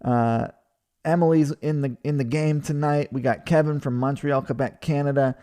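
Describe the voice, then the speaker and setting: A man's voice: a little high, fairly even intonation, moderate pace, dark tone. A man from Hawaii in his thirties, hosting a live webinar and chatting casually with viewers, full of fillers and restarts. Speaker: webinar host. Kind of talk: casual chat reading viewer comments.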